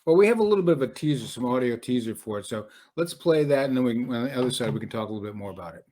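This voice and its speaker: A man's voice: medium pitch, animated, rapid-fire, over music. A man hosting a podcast, introducing and interviewing in a conversational style. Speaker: podcast host.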